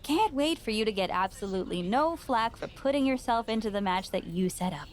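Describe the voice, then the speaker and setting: A woman's voice: a little high, animated, a little fast, slight background noise, close to an everyday voice. Exasperated, sarcastic female promoter, acted by a woman. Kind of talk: scripted game cutscene dialogue.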